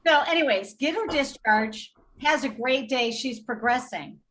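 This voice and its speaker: A woman guest on a podcast interview, telling an animated story in quick bursts of detail and acting out what others said. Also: sits high, a little hiss or hum, rough recording. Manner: animated, re-enacts dialogue while telling a story. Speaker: healthcare advocate guest.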